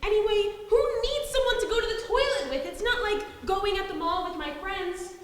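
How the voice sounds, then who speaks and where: A woman's voice: very high-pitched, normal speed. A woman playing a frightened, defiant teenage girl in a stage play, protesting emphatically to her family. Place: indoor theatre stage, recorded at a distance. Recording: echoey theatre hall, faint noise.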